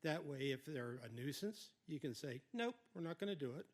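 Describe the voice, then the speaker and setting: A man's voice: on the high side, a little fast. A man with a big, carrying voice at a township meeting, making a proposal and acting out replies aloud. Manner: animated, emphatic, quotes replies aloud. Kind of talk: proposing ideas at a public meeting.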